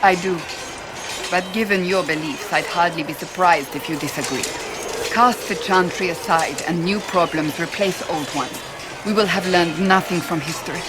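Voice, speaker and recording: A woman's voice: on the low side, normal speed, close to an everyday voice, low-quality recording. An actress playing a stern, devout rebel warrior woman in a video game, speaking formally and earnestly. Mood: earnest conviction. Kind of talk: dramatic video game dialogue.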